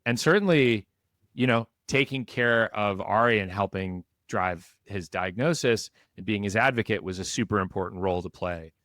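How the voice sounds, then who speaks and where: A man's voice: on the low side, normal speed, fairly bright tone, no echo, clean studio sound. A male podcast host, curious and affirming, asking long conversational questions. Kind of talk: conversational interviewing.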